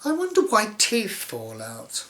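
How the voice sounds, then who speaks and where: A man's voice: sits high, huge pitch swings, unhurried, fairly bright tone, pitched above the performer's own voice, full character voice. A man voicing an endlessly wondering little female character from a children's storybook. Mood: puzzled, curious.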